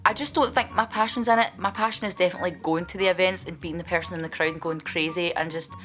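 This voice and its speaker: A woman's voice: fairly low pitch, steady tone, fast talker, a bit bright. A woman, vlogging in a chatty, candid way as she answers viewer questions, with playful asides. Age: 20s.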